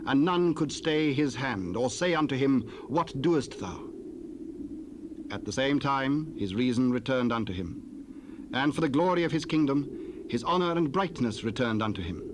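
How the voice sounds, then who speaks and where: Man reading scripture slow and solemn, tape hiss behind. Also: mid-range voice. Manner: measured, solemn narration. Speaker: narrator.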